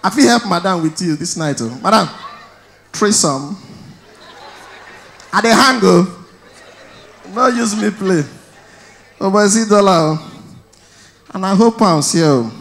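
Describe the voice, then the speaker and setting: A man in his thirties with a Nigerian accent, performing stand-up on stage with a handheld mic, telling stories loudly and shouting his punchlines. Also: sits high, unhurried, gravelly, long pauses, slight background noise. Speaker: stand-up comedian. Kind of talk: stand-up comedy in Pidgin. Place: large indoor event hall on stage.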